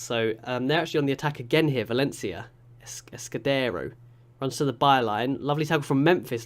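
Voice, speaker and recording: Young male gamer, stuffed-up nose from a cold, chatty excitable play-by-play, mid-pitched. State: congested with a cold. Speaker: gaming YouTuber. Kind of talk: live game commentary.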